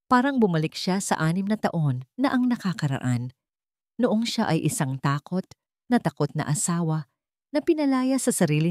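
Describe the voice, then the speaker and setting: Expressive, lowish-voiced woman storyteller. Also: no echo, studio quality. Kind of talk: scripted dramatic story narration.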